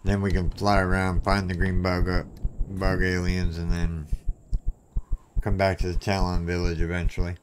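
A man's voice: low voice, monotone, normal speed, a little hiss or hum. A man hosting a gaming channel, chatting casually to viewers as he plays, with something clogging his throat. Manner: chatty, talks to viewers while playing. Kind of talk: casual gameplay commentary.

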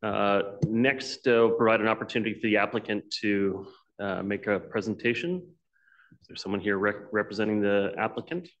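Lowish-voiced man chairing a meeting. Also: steady tone, brisk, fairly bright tone, lo-fi sound.

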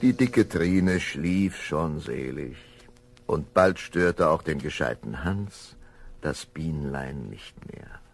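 A man narrating a children's fairy tale as an unhurried, expressive storyteller, with a deep, husky, gravelly voice. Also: slight background noise, exaggerated voice. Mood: gentle telling.

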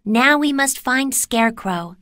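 A woman's voice: high-pitched, lively intonation, measured pace, sharp tone, no echo, clean studio sound, pitched above the performer's own voice, full character voice. A woman voicing an earnest, determined young girl in a storybook, speaking eagerly.

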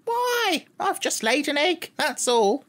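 Fussy little hen for a storybook, high sharp voice, very matter of fact. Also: male voice, big pitch swings, slow pace, exaggerated voice. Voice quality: small, sharp, distinct. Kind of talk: audiobook character voice.

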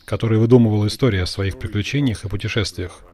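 A man from Russia, a blogger, talking in a calm, measured and modest way on a video call. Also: fairly low pitch. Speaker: blogger interviewing a guest. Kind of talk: calm Russian voice-over of a translated conversation.

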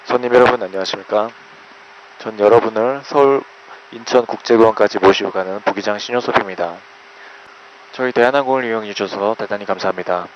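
A man's voice: on the low side, brisk. A man speaking as an airline first officer, making a formal in-flight announcement over a cabin PA. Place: aircraft public address system. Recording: muffled cabin PA with noise. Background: cabin noise.

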